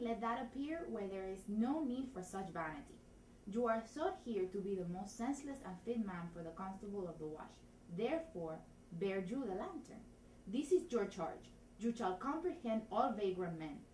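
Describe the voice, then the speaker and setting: A woman's voice: mid-range voice, very animated, moderate pace, darkish tone, natural voice. Woman acting a self-important constable, Shakespearean comedy, declamatory, funny put-on accent, distant microphone, background noise. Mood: pompous. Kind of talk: Shakespearean comedy, theatre audition reading. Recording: roomy, distant, noisy room recording.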